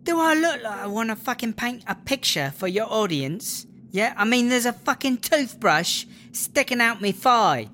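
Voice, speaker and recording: A woman's voice: on the high side, big pitch swings, normal speed, few pauses, a bit bright, slight background noise. A woman playing an injured, foul-mouthed British prison inmate in an audio drama, groaning in pain and exasperated. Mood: furious, pained. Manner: sweary, exasperated, sarcastic.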